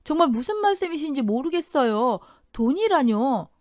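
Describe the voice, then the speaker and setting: A woman plays a bewildered daughter-in-law in a radio drama. Her voice is pitched high and lively, pleading and indignant, with a dry studio sound. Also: moderate pace, no echo. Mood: bewildered, timid.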